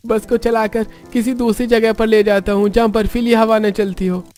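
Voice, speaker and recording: Man playing an eager high-voiced young husband. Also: level tone, moderate pace, darkish tone, soft background music, lightly acted.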